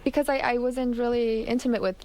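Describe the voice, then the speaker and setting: A woman's voice: on the high side, steady tone, fairly quick, darkish tone. A woman from Canada speaking conversationally as the guest in a radio interview. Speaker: interview guest, memoir author.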